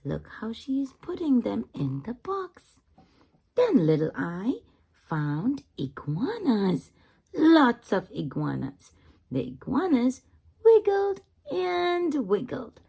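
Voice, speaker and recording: A woman reads a storybook to small children, swinging widely in pitch at a very unhurried pace. Her voice is fairly high with a husky edge, and she talks like a teacher, putting questions to the young listeners. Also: wildly swooping pitch, mellow. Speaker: children's read-aloud channel host.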